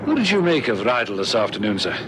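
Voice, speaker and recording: Quick-talking junior detective. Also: male voice, on the low side, very animated. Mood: keen, respectful. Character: deferential, eager male junior police detective. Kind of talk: vintage radio police drama acting. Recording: noisy vintage radio drama recording.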